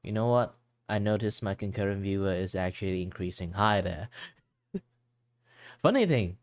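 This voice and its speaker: A young man from Malaysia talks casually on his art stream. His voice sits fairly low, and the sound is close and dry with no room echo. He rambles through fillers and restarts, slipping in and out of Malaysian English as he goes. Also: animated, normal speed. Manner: switches at will into Malaysian English. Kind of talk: casual chat while drawing on stream.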